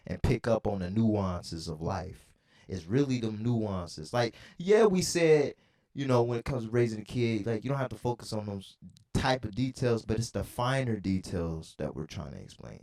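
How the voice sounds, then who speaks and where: A man's voice: lowish voice, darkish tone. A man hosting a casual podcast, talking in slangy, profane language and repeating phrases for emphasis. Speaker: podcast host.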